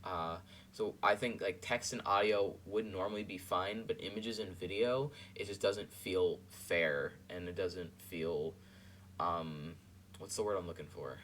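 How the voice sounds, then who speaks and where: Rambling man, low voice, faint hum. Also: fairly bright tone. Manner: rambling, halting, many fillers. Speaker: podcast host. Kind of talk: casual commentary over a clip.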